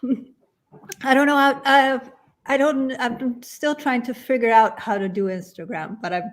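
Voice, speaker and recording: A woman from Sweden, a guest on a video call, talking in a halting way with frequent restarts and small laughs. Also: high-pitched, fairly even intonation. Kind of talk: casual interview chat.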